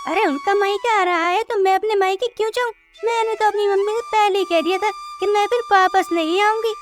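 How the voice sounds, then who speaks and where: A woman playing a quarrelsome, defiant young daughter-in-law who scolds and threatens in an animated moral story. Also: very high-pitched, a little fast. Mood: angry, defiant. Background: music.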